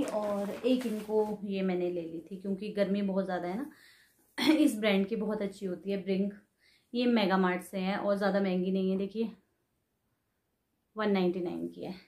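A woman in her thirties talks through a shopping haul in a casual, explanatory way, holding clothes up to the camera as she goes. Her voice sits at a middle pitch. The room around her is noticeably echoey. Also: mellow. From Himachal Pradesh, India. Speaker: vlogger. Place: bedroom at home, indoors. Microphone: camera in front, medium framing.

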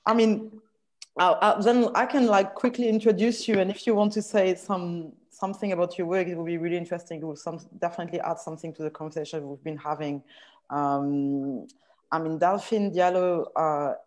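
A woman's voice: fairly low pitch, steady tone, fairly quick, a little mellow. A woman moderating a video-call panel, introducing a guest with hesitant, repeated words. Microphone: laptop mic on a video call.

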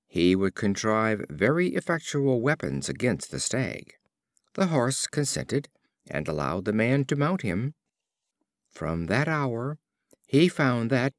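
A man narrating short fables as an audiobook reader, in an expressive storybook style. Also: on the low side, no echo, studio quality.

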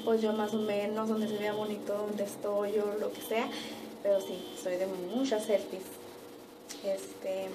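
A young woman from Mexico with a Mexican accent, chatting casually and a little haltingly to camera in her living room. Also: mid-range voice. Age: young adult. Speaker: vlogger. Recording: distant mic, noisy room ambience.

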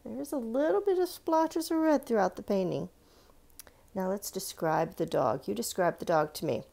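A woman with a creaky voice giving a read-aloud art lesson for children, chatting in asides and putting questions straight to her young viewers. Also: on the high side, a little mellow. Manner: instructive, addresses young viewers directly with questions. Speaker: art reader and presenter for children. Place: indoors at a stone countertop with a book.

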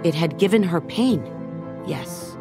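A middle-aged woman narrating a children's storybook in a gentle, measured way, softening her voice at tender moments. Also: lowish voice, lively intonation. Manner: gentle, measured storytelling with soft dips in volume. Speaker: audiobook narrator. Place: recording studio. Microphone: close studio microphone. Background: background music.

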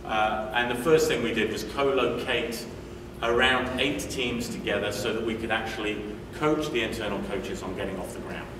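A man's voice: lowish voice, fairly even intonation, moderate pace. A British man from the UK in his forties giving a conference talk in a hotel meeting room, conversational, with frequent fillers and restarts. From United Kingdom. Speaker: conference speaker. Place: hotel meeting room, indoors, medium size. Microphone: room mic from a distance. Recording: echoey room, distant mic, noisy.

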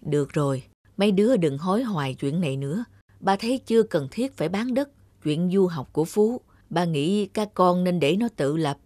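An actor playing a kindly, firm elderly father in a cartoon, speaking in a measured way. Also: high voice, expressive, darkish tone, no echo.

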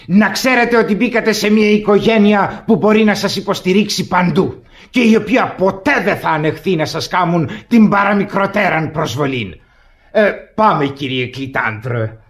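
A man's voice: high voice, expressive. An actor playing a pompous older aristocratic father-in-law, imperious and formal, in a classic comedy for radio theatre.

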